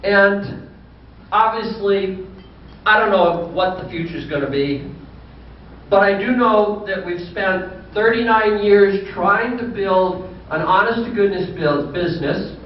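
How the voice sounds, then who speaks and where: An older man in his sixties, with a fairly high voice for a man, tells unhurried, folksy stories with dry humor at a banquet. He is heard from a distance through a muffled hall mic, with a strong echo in the room. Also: a little hiss or hum. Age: 60s. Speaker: business owner giving a banquet speech. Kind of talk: anecdotal after-dinner speech.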